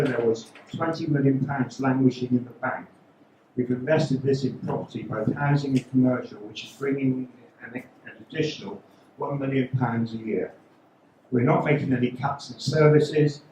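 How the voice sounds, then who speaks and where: A man delivers a prepared speech as a local election candidate, working steadily through his points. He is recorded in a school hall with heavy echo. A faint hiss sits underneath. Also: mid-range voice. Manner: steady, reads points as a list. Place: school hall at a public meeting. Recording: echoey hall.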